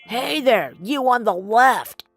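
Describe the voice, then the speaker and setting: A woman's voice: fairly high pitch, lively intonation, slow, no echo, voice pushed up, heavily acted. A jealous, bossy little toy troll acted out by a woman from a children's fairy tale. The voice scolds and gives orders in a dry, close recording, with faint music underneath. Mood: hostile, calling out. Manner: scolding, commanding. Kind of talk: children's storybook character voice.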